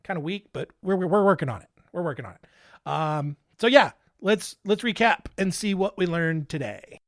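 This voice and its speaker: A man's voice: high voice, expressive, moderate pace, fairly bright tone, a little hiss or hum. A young man hosting a casual solo podcast, making joking, playful asides. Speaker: podcast host.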